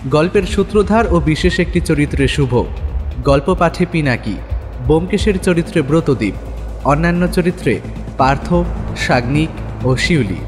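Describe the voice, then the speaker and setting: A man's voice: on the high side, expressive, normal speed, pauses often. A man presenting a scripted introduction to an audio story. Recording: reverberant voice over music. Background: music.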